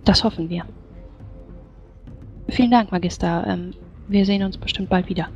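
A woman's voice: mid-range voice, normal speed, a little mellow, natural voice. A woman playing a proper, concerned female adventurer in a tabletop game, speaking formally and politely. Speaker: player. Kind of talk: in-character tabletop roleplay. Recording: music behind the voice.

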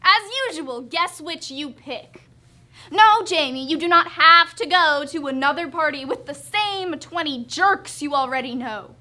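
A woman's voice: sits high, lively intonation, unhurried, pushed and bright, full character voice. A teenage girl performing a theatrical monologue as a hurt, jealous young wife, emphatic and sarcastic. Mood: exasperated, pleading. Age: teens. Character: hurt, jealous young wife berating her self-absorbed husband.

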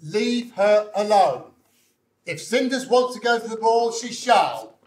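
A man's voice: high voice, lively intonation, takes it slowly. A man playing a mild, henpecked father in a stage pantomime, with hesitant, proper, blustering delivery. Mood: feebly stern. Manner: hesitant, mildly indignant, proper. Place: indoor stage, amateur theatre performance. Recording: roomy stage recording, noticeable background noise.

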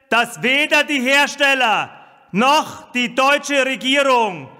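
A man delivering a formal, military-style public announcement in a deliberate, proclamatory way, with a reverberant loudspeaker sound. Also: very high-pitched.

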